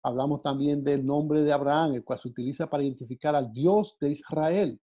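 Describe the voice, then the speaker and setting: A man from the Dominican Republic teaching a Bible lesson off the cuff, with frequent hesitations and repeated words. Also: a little high. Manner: halting, many "eh" fillers and repeated words. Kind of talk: unscripted Bible class talk. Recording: dull lo-fi sound.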